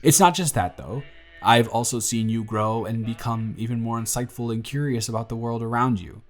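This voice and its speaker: A man playing an earnest young single dad in his twenties, talking softly and a little flustered in an audio roleplay. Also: mid-range voice, normal speed, lightly acted. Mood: tender. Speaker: father confessing feelings to the babysitter.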